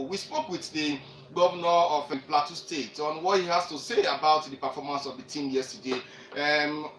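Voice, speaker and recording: A Nigerian man hosting a live sports radio discussion as presenter and reporter, speaking in an animated, emphatic way. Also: a little high. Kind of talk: live sports discussion introducing interview clips. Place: echoey room, radio show. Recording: roomy, distant mic, faint noise.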